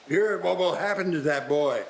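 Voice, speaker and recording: A man acting a shaken, anxiously questioning old man in a radio play, earnest, halting and theatrical. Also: fairly high pitch, vocal fry, slight background noise.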